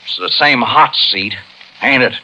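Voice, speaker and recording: Hard, cynical cop with a sharp edge. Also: male voice, medium pitch, unhurried. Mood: cold, sardonic. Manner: deadpan, sardonic tough talk. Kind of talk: 1950s radio crime drama acting. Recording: noisy muffled old AM radio broadcast.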